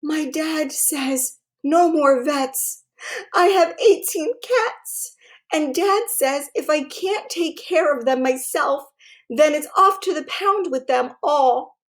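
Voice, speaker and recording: A woman voicing a worried, tearful fifth-grade girl in a storybook reading, sniffly, halting and pleading. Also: sits high, voice pushed up.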